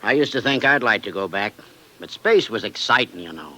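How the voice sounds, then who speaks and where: Folksy, weary old spaceman, forceful edge. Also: lowish voice, lively intonation, brisk, low-quality recording. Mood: wistful. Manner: folksy, rough-hewn colloquial speech. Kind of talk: 1950s radio drama acting.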